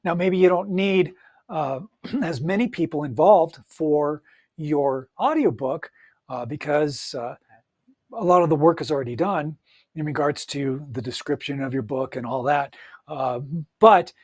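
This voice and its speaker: A man's voice: on the high side, a little slow, no room sound, studio quality. A man giving an upbeat, encouraging solo talk as a coach, speaking straight to the listener with frequent fillers and restarts. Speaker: audiobook coach.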